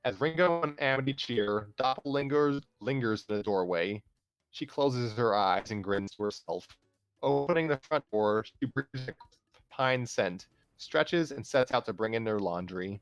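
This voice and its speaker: A man's voice: medium pitch, unhurried, smooth, dry recording, soft background music, low-quality recording. A man reading stories aloud and chatting casually on a show. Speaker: story reader and show regular. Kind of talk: reading fan fiction aloud, then casual chat.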